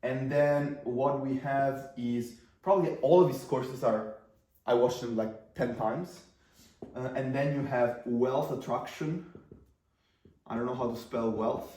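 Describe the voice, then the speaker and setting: A man's voice: medium pitch, a little slow, mellow, echoey room, recorded from a distance, slight background noise, rough recording. A man in his twenties with an Italian accent, whose first language is Italian, talking casually to camera about marketing in a rambling way with fillers and restarts. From Italy. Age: 20s.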